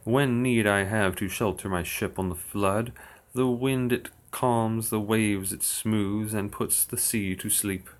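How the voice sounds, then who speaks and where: A man reciting old verse slowly and steadily on his livestream, with dry asides. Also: on the low side, fairly even intonation. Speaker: occult streamer. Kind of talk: reading verse aloud on a livestream.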